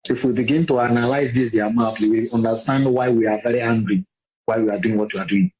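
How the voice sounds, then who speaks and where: A man's voice: medium pitch, fairly even intonation, quick pace, darkish tone, slightly rough, low-quality recording. A man making an impassioned, insistent speech, repeating his points for emphasis, heard as a played clip.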